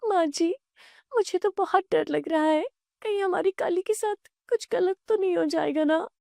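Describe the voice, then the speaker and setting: Woman as fretful young daughter-in-law, cartoon drama, timid, high-pitched, dry close sound. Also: moderate pace, vocal fry, dark tone, no room sound. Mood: frightened. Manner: fretful, respectful.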